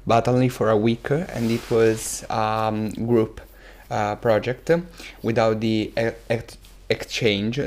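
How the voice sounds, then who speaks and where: Teenage Italian guy with a lowish voice. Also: darkish tone. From Italy. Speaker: exchange student guest. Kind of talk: casual interview answers.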